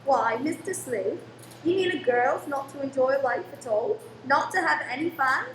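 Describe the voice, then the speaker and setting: A woman's voice: high voice, moderate pace, natural voice. A woman playing a cheerful, outspoken young daughter in a radio play, spirited and a little defiant. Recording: echoey room with faint noise.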